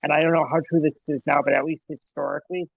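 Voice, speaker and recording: A man's voice: fairly high pitch, flat delivery, quick pace, gravelly, breathy edge, no room sound, dull audio. A man in his thirties co-hosting a movie podcast, rambling and hedging his thoughts in casual chat. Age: 30s. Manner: rambling, hedging, laughs often. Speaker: podcast co-host.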